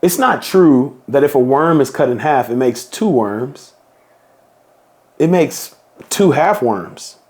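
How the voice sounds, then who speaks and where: A man reading a children's picture book aloud in a slow, playful storybook manner. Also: mid-range voice, animated, darkish tone.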